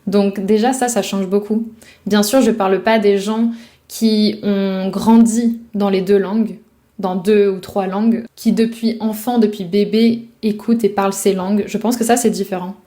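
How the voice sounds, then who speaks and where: Woman from France, language coach; soft, warm tone, reflective and personal, addressing the viewer directly. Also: medium pitch, steady tone, normal speed. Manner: reflective, speaks directly to the viewer, casual fillers. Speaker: French speaking coach. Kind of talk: advice talk to camera.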